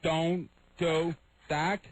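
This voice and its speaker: A man with garbled, hard-to-understand speech, talking in halting, repetitive fragments as a radio guest. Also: fairly high pitch, flat delivery, dry recording. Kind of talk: halting casual chat.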